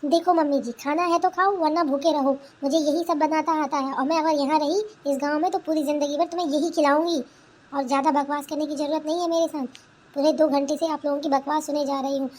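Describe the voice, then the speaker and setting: Woman voicing a high-pitched sassy young bride. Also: steady tone, fast talker, close to an everyday voice. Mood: defiant. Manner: indignant, complaining, talks back. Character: sassy, defiant young newlywed daughter-in-law in a village. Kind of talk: Hindi cartoon family comedy dubbing.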